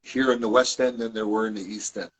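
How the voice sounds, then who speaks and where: A man's voice: on the low side, fairly even intonation, fairly quick, husky, rough recording. A man from Toronto, a guest and author, telling his family history in a rambling, casual way with many restarts and asides. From Toronto, Canada. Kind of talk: casual conversational storytelling.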